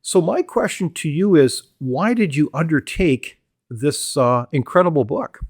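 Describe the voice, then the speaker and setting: A man's voice: fairly high pitch, expressive, unhurried, husky, no echo, clean studio sound. A man hosting an interview, asking formal, admiring questions with a few stumbles. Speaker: interview host.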